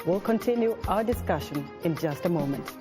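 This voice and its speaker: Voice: medium pitch, animated, fairly quick. Call-in show host over music.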